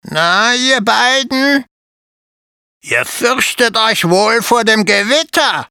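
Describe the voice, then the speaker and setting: A narrator putting on a raspy mother spider. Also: medium pitch, lively intonation, slow, sharp tone, dry recording, studio quality, voice pushed up, exaggerated voice. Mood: teasing.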